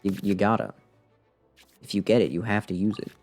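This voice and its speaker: A man's voice: lowish voice, animated, a little fast, a little mellow. A man doing casual live gameplay commentary, thinking aloud in a self-deprecating way as he mutters and exclaims. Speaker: video game livestreamer. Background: game music.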